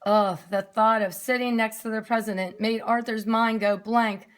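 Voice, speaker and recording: Lady reading a picture book to small kids, high voice, expressive, explains stuff simply. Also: normal speed. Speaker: nursery school teacher reading aloud. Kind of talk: read-aloud storytelling to young children, with explanatory asides.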